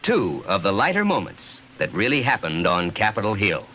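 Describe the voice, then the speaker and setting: A man's voice: fairly low pitch, big pitch swings, moderate pace, bright, forceful tone, low-quality recording. A man working as a radio announcer, delivering scripted narration of light anecdotes. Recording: hissy old radio recording.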